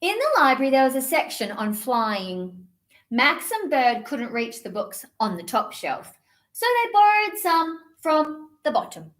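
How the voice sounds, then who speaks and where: A woman presenting children's storytime, reading picture books aloud with expressive, deliberate delivery aimed at young children. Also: sits high.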